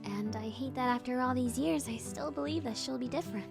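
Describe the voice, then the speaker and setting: A woman's voice: sits high, fairly quick, creaky, over music. A woman voicing a sporty, teasing and openhearted young woman in a visual novel game. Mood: resigned, disappointed.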